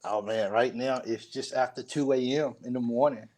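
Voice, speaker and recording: A man's voice: mid-range voice, steady tone, moderate pace, darkish tone. A middle-aged man from Kentucky, chatting casually as a podcast guest in a folksy, repetitive, emphatic way. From Kentucky, United States. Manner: folksy, repeats phrases for emphasis. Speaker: podcast guest, sports fan show host. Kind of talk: casual chat.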